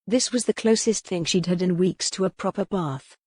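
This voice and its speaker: A woman's voice: fairly low pitch, normal speed, darkish tone, breathy edge, no echo. A woman reading a historical romance novel as scripted audiobook narration.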